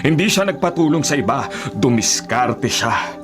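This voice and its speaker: An actor playing a weary, exasperated middle-aged husband who sells gas, in a Filipino radio drama. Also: male voice, medium pitch, lively intonation, moderate pace, close to an everyday voice. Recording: music bed behind.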